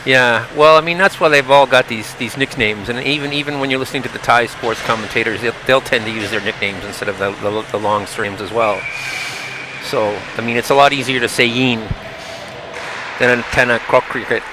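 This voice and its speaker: A man's voice: medium pitch, a little fast, background noise. A man giving casual color commentary at a hockey game, often repeating words as he searches for the next thought.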